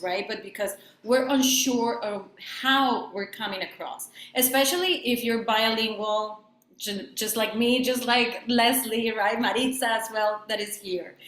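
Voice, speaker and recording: A Spanish-speaking woman welcomes people to a webinar over a video call. Her voice sits a little high and sounds warm and earnest, with small restarts along the way. The room around her is echoey. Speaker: webinar host introducing the speaker.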